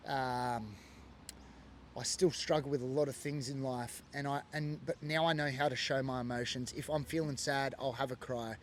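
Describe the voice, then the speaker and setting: A man's voice: medium pitch, steady tone, moderate pace, a little hiss or hum. An Australian man from Sydney, telling a personal story in an earnest, emotional way with lots of fillers. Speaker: former biker sharing his story. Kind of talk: personal testimony monologue.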